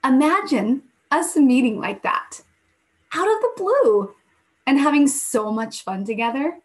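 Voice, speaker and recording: An eager, playful male squirrel from a children's storybook, played by a woman, bouncy and earnest. His voice is high and jumps all over the place in pitch. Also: big pitch swings, a little slow.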